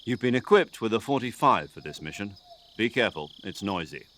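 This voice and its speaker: A man voicing an older military commander in a video game, precise and drily good-humoured, articulate and measured as he briefs an agent. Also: fairly low pitch, animated, bright, forceful tone. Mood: businesslike, grave. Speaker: mission commander giving a radio briefing.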